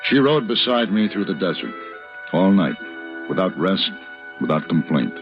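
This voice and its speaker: An actor playing a cool, wry western gunman in an old-time radio drama, laconic and measured. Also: low voice, big pitch swings, music in the background. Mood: reflective. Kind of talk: old-time radio western acting and first-person narration. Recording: dull, noisy old radio recording.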